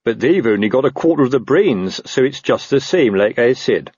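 Fat old officious captain, a man acting, husky and snappish, swooping with self-importance, for a comic fantasy audiobook. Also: medium pitch, lively intonation, normal speed, darkish tone, no echo, highs cut off, pitched above the performer's own voice. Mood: indignant. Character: fat, old, pompous, paranoid, bullying police captain.

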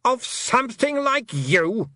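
A man voicing a haughty, cold fairy queen who talks in a silky, condescending, drawn-out way. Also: very high-pitched, big pitch swings, bright, forceful tone, no echo, clean studio sound, pitched above the performer's own voice, heavily acted. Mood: mocking.

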